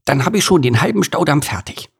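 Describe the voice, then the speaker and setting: Guy doing a kids' story beaver, mumbly and pondering, raspy, bouncy pitch. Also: sing-song, brisk, full character voice. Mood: musing. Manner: grumbling, musing to himself. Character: ponderous male beaver, puzzled and easygoing.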